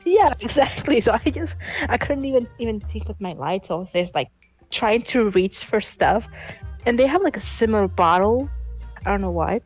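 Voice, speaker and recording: A woman's voice: fairly high pitch, lively intonation, normal speed, faint music behind, poor audio. A woman in her late teens calling in to tell an embarrassing story, giggling and rambling with many restarts. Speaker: caller. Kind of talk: casual confession story.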